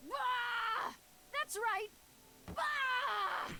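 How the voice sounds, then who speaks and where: A woman's voice: extremely high pitch, drawn-out words, heavy background noise, heavily acted. An actress voicing a spirited, earnest young woman in a video game, with a direct conversational manner. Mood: teasing, defiant. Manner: direct, conversational, slightly sardonic.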